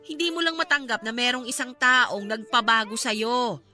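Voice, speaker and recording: A caring, outspoken Filipino friend in a radio drama. Her voice is highish, bright and very expressive, coaxing and emphatic as she persuades, with music underneath. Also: moderate pace. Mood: earnest persuasion.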